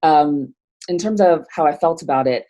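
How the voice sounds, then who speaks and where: A woman's voice: low-pitched, moderate pace, dark tone, highs cut off. A woman born in Nigeria, speaking as a guest in an interview, thoughtful and hesitant, with many fillers and restarts. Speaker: theologian guest. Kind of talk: reflective interview conversation.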